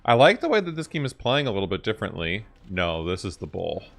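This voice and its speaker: A man's voice: fairly low pitch, very animated, quick pace, gravelly. A young man giving casual reaction commentary as host of a video game channel.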